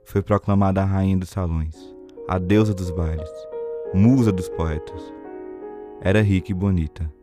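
A man's voice: deep voice, fairly even intonation, normal speed, music in the background. A man with a Brazilian accent narrating a period novel as scripted audio drama.

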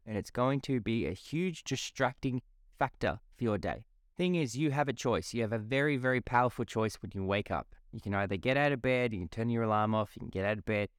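A man's voice: medium pitch, dry recording, studio quality. A man in his twenties hosting a solo motivational podcast. He talks in a casual, chatty, blunt way and swears freely. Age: 20s. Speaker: podcast host.

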